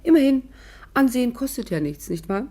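A woman's voice: fairly high pitch, animated, fairly quick, warm tone. An actress playing a witty, stubborn young woman in a 1950s German radio play, with ironic, theatrical banter. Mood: wry, confiding. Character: witty, stubborn young English actress, hat lover, narrating. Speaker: actress narrating and playing the heroine. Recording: 1950s radio recording, music behind.

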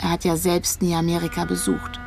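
A woman's voice: on the low side, completely flat delivery, fairly quick, a little mellow, faint music behind, close to an everyday voice. An actress playing a searching, disillusioned young woman in a naturalistic radio drama, talking pensively. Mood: thoughtful.

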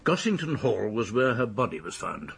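Casting: polite, probing English detective in radio drama, heavily rasping voice with animated delivery. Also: male voice, lowish voice, moderate pace, heavily acted. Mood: methodical. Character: courteous, methodical police detective questioning a witness.

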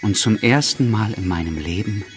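A fairly low man's voice from an actor, solemn and reverent. He tells his story in measured, ceremonious phrases over music, like a quietly obsessive businessman in a radio play. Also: close to an everyday voice. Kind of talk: radio drama narration in first person.

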